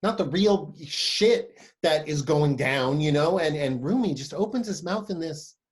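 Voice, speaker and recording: A man's voice: a little high, expressive, unhurried. A man in a play reading over video call, playing a shy, earnest young poetry lover, hesitant and apologetic.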